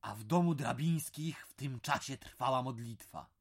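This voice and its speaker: Chropawy męski narrator wiejskiej opowieści. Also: male voice, mid-range voice, normal speed, husky. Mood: suspenseful. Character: storytelling narrator retelling a village legend. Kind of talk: scripted radio drama narration.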